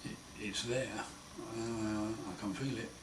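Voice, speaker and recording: Guy with a croaky sore throat, slow wry video diary, sounds far off in an echoey room. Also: on the low side, fairly even intonation. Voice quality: hoarse, partly breathy. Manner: slow, wry, plain-spoken, often pausing. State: croaky after throat surgery. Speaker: patient sharing his recovery. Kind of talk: casual home video diary. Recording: roomy echo, far mic, noisy.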